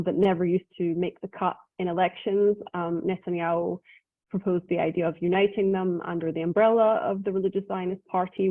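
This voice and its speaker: A woman's voice: lowish voice, no echo. A woman with a Scottish Israeli accent, giving an informal talk as a guest speaker, articulate and conversational, with frequent ums. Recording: tinny dry phone-like call audio.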